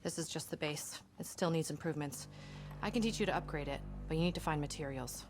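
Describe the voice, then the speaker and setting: Low-voiced, grave resistance fighter. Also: female voice, fast. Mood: resolute. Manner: intense, solemn, insistent. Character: grave, driven female resistance fighter from the future. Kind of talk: video game cutscene acting. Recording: loud music behind the voice. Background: loud background music.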